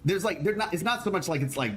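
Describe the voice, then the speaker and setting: A man with a deep voice chatting casually as a lore video host, rambling and restarting his sentences. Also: lively intonation, quick pace, a bit bright, faint music behind. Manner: rambling, hesitant, full of restarts. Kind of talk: casual chat.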